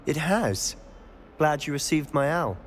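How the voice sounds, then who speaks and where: A man's voice: on the high side, lively intonation, a little slow, a little hiss or hum. A young man playing a confident, secretive student in a game cutscene, eager and conspiratorial. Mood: confiding.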